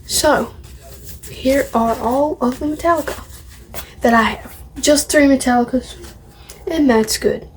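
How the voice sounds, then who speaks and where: A woman's voice: high-pitched, a little slow, pauses often, slight background noise. A woman vlogging casually to camera, showing off a collection in a rambling, opinionated way and repeating phrases. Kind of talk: casual show-and-tell to camera.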